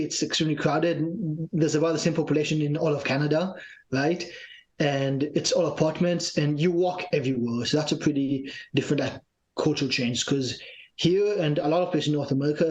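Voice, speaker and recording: A young man from Israel with an Israeli accent, a guest in a casual interview, relaxed and sprinkling in lots of fillers. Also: a little high, rough recording. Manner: relaxed, uses many fillers like "like". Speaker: guest, fitness trainer.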